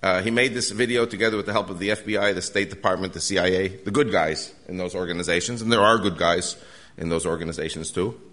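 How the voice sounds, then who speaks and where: A man's voice: low voice, fairly even intonation, fast, fairly bright tone. An older American man giving an urgent, earnest lecture to a live audience, often restarting his sentences. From United States. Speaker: guest lecturer.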